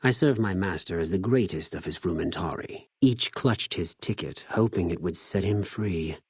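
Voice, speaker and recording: An actor playing a cold, zealous, contemptuous legion spy in a video game, speaking formally with measured condescension. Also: male voice, low voice, husky, dry recording, studio quality. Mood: calm condescension, then detached cruelty. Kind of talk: scripted video game character dialogue.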